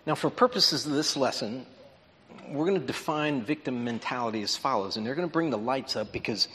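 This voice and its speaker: A man's voice: medium pitch, fairly bright tone, slight background noise. A man preaching a lesson in a conversational, explanatory way, checking in with his listeners as he goes. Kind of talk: sermon lesson. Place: large auditorium. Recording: long reverb in an auditorium.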